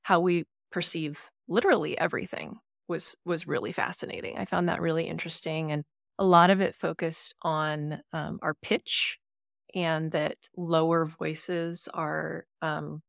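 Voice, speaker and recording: A woman co-hosting a podcast, talking through a book in a relaxed, thoughtful way with fillers, her voice a little lower than most women's. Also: measured pace, gravelly, no room sound, clean studio sound. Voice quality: fairly low voice for a woman. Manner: thoughtful, agreeable, many fillers. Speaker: podcast co-host. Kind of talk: casual book discussion.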